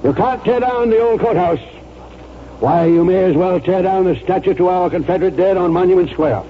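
An actor playing a stubborn, pompous retired colonel in his sixties, speaking deliberately in a 1940s radio drama. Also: high voice, a little hiss or hum, close to an everyday voice. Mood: resolute, orating. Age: 60s or older. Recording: dull vintage radio broadcast, faint music.

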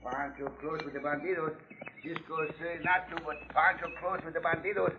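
An actor playing an excitable, comical Mexican cowboy sidekick who speaks broken English in an old radio western. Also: male voice, on the high side, moderate pace, lo-fi sound, close to an everyday voice. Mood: indignant, blustering. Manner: broken English, refers to himself by name. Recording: noisy, crackly old radio recording.

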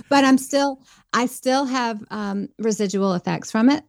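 A woman's voice: fairly high pitch, creaky, a little mellow, no room sound, studio quality. A woman guest on a podcast interview, talking earnestly and reflectively about faith and leadership. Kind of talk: conversational interview.

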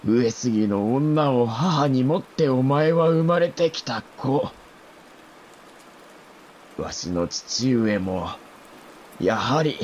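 An older samurai lord near death speaks slowly and haltingly, with dramatic swings in pitch. He is a tormented father in a historical audio drama, lordly and archaic in speech, with faint rain-like noise behind him. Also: a little mellow, muffled recording. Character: dying older samurai lord, anguished father confessing fear.

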